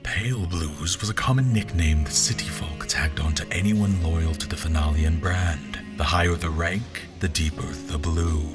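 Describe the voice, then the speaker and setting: Man playing a deep-voiced, formal general. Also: music in the background, natural voice. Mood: measured, reflective. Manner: formal, measured, literary. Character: formal, pompous, troubled male general recounting his memories. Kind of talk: first-person audio drama narration.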